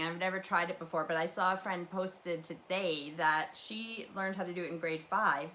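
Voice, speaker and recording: Woman, low voice, meandering livestream chat, faint background noise. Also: a bit bright. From Canadian prairies. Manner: chatty and meandering, with many fillers and restarts. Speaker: livestream host. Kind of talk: casual rambling livestream chat.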